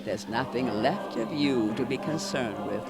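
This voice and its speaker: Slow, menacing eldritch god, acted by a man. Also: medium pitch, close to an everyday voice. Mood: quietly threatening. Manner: menacing, formal, deliberate, condescending. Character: ominous eldritch god, stern condescending elder uncle. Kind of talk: theatrical video game villain monologue. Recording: music behind the voice.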